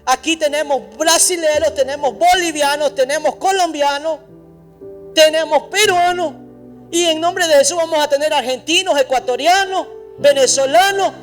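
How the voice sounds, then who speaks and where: A man's voice: extremely high pitch, unhurried, fairly bright tone, soft background music. A pastor preaching a sermon in a church, emphatic and repetitive, speaking straight to his congregation. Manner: addresses the congregation directly.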